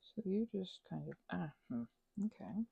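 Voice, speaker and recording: A woman rambling casually as she thinks aloud over a craft, halting and murmuring to herself, with playful asides to listeners. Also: on the low side, animated, gravelly, husky, no room sound, narrow, tinny audio. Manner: halting, drifts into murmurs and self-talk. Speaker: ASMR and audio creator.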